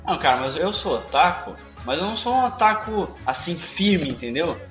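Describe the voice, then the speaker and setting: A man's voice: on the high side, animated, normal speed, a bit bright. A man with a Brazilian accent in casual, emphatic, bantering podcast chat. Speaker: podcast co-host. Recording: echoey room. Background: faint music.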